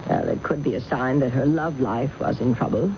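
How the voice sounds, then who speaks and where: An actress playing a worldly, composed show-business manager in a 1970s radio drama, knowing and conversational. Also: female voice, extremely low pitch, animated, moderate pace, vocal fry, heavily acted. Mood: confiding. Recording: muffled, noisy old radio recording.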